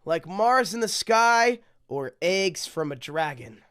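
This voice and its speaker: A person reading picture books aloud in a theatrical way, dropping into muttered deadpan asides. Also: medium pitch, slow. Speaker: storybook reader.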